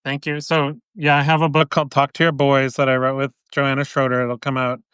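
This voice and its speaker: A man being interviewed as an author, explaining in a measured way with "um" pauses. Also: medium pitch, monotone, a bit bright, clean tone, no echo. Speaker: guest author. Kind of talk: interview answers.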